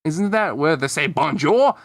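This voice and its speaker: A man voicing a naive, excitable young cucumber boy with a childlike manner in a cartoon fan story. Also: a little high, moderate pace, vocal fry, no echo. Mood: innocent. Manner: childlike, guileless.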